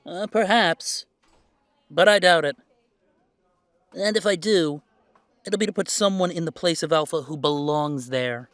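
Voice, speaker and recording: Actor as slow, defiant young man, close and dry. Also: fairly high pitch, a bit bright, no echo. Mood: defiant, cocky. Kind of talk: audio drama acting.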